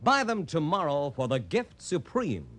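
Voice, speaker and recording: Lively vintage radio ad announcer, male. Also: a little high, a little slow. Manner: polished, emphatic, salesmanlike. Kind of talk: vintage 1940s radio advertising pitch.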